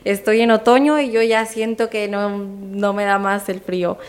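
A woman's voice: medium pitch, little pitch movement, moderate pace. A woman from Mexico with a Mexican accent, speaking conversationally as a guest in a radio interview. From Guanajuato, Mexico. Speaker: radio guest promoting a charity campaign.

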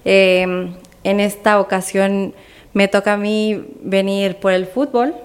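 Even-toned Mexican woman, radio guest. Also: medium pitch, slow. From Guanajuato, Mexico. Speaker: radio guest promoting a charity campaign. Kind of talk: conversational radio interview.

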